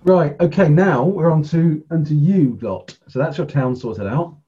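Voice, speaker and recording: A man's voice: on the high side, a little slow, mellow, highs cut off. A man casually running a tabletop role-playing game and explaining the rules as game master.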